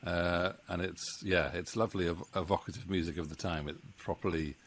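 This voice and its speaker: A man in his forties hosting a casual interview, speaking hesitantly in halting, circling sentences. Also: sits low, steady tone, fairly bright tone. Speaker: interview host, audio drama maker. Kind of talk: casual chat, moderating. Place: home office indoors. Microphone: studio mic on boom arm, close.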